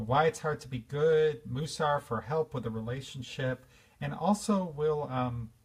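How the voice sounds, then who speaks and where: A man's voice: mid-range voice, husky, recorded from a distance. A man in his fifties giving an earnest, reflective spiritual talk, with fillers and restarts. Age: 50s. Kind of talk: informal spiritual talk to an audience.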